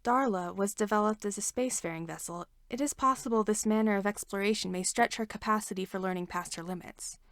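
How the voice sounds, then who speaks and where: A woman voicing a reserved alien man in a fanfiction audiobook, with formal, measured speech and no contractions. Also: mid-range voice, a bit bright, dry recording, studio quality, close to an everyday voice. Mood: measured, composed.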